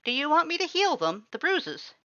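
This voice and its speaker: A woman voicing a tall, loud, worried male skeleton who exclaims fretfully. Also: sits high, big pitch swings, normal speed, no echo, lo-fi sound, pitched above the performer's own voice, exaggerated voice. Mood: concerned.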